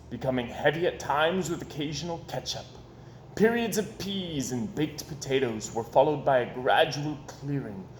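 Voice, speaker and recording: Higher-voiced man reading a storybook aloud, echoey. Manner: expressive, with dramatic pauses and emphasis. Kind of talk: animated read-aloud storytelling. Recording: echoey room with background noise.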